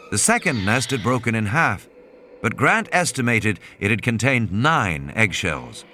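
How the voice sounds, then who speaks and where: A man's voice: fairly low pitch, bright, forceful tone, slight background noise. A man reading scripted dramatic narration as an audiobook narrator.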